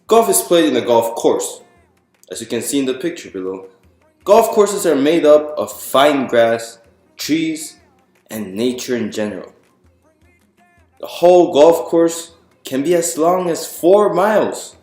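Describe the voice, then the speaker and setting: A man reading a picture book aloud to an audience in a clear explanatory, presentational way, putting questions to the listeners. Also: a little high, animated, measured pace, darkish tone, reverberant, faint music behind. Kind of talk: storytime read-aloud to an audience.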